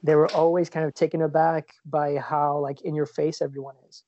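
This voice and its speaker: A man's voice: a little high, little pitch movement, fairly quick, warm tone, no room sound, muffled recording. A man from Switzerland chatting casually as a podcast co-host, rambling with lots of fillers and restarts. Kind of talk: casual chat. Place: lives in New York.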